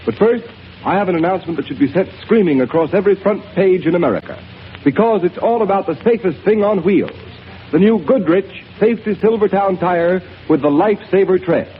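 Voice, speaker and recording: A man's voice: high-pitched, slight background noise. A man announcing and reading an ad in an emphatic style on a 1930s radio show, heard on a tinny old recording. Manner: emphatic, salesy.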